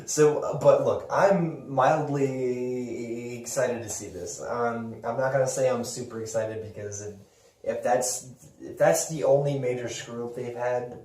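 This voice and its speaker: A man chats casually about entertainment as a podcast host, with slangy, profane phrasing. His voice sits at a middle pitch, picked up from a distance in an echoey room with some noise. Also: a little slow, darkish tone. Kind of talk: casual movie and gaming chat.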